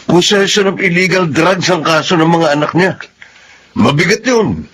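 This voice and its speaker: An actor playing a stern, fed-up Filipino police officer and uncle, blunt and firm, in a radio drama. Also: male voice, fairly high pitch, animated, moderate pace, a bit bright, slight background noise. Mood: stern, disapproving.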